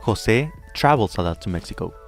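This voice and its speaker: Male language teacher, low voice, careful pronunciation drills, faint music under. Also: expressive. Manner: clear, slow model pronunciation with pauses to repeat. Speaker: Spanish teacher.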